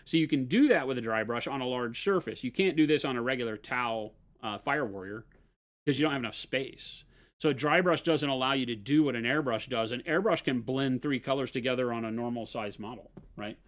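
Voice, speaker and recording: A man's voice: mid-range voice, a little fast. A man explaining model painting techniques in casual livestream talk, often checking in with "right?". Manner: explaining, fillers and restarts, says "right?".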